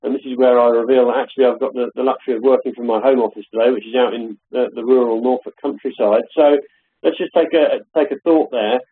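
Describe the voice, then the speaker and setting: A husky man walks through a software demo, often pausing and restarting. His voice comes over tinny, phone-like call audio from a home office. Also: medium pitch, steady tone. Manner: hesitant, filler-heavy explaining. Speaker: software product demonstrator. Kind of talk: live webinar product demo.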